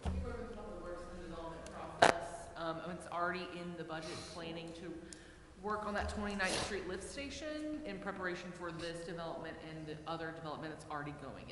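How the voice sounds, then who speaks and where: A woman's voice: deep voice, a bit bright. A woman on city staff politely explaining procedures and regulations off the cuff at a public meeting. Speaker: city planning staff member. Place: indoor council chamber. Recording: echoey reverberant chamber with background noise.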